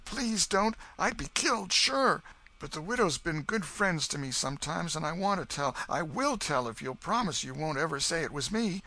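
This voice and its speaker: Scared young boy, high and pleading, put on by a male reader, lively and bright. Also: normal speed.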